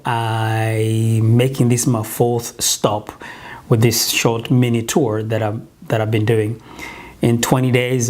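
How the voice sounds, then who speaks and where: A man's voice: mid-range voice, a little mellow. A man in his thirties with a Ugandan accent, giving an earnest, urging motivational talk to camera on a lapel mic in a small office kitchen. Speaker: business coach.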